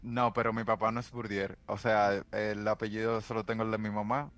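A man's voice: fairly low pitch, flat delivery, fairly quick, fairly bright tone, lo-fi sound, soft background music. A man, talking hesitantly as a guest in a casual chat. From Dominican Republic.

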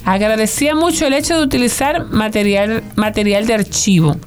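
A woman presents entertainment news in a loose, chatty way, drifting into jokes and asides. Her voice sits a little high, with faint music running under her. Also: fairly quick. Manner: chatty, digressive, jokey asides. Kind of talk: conversational news report.

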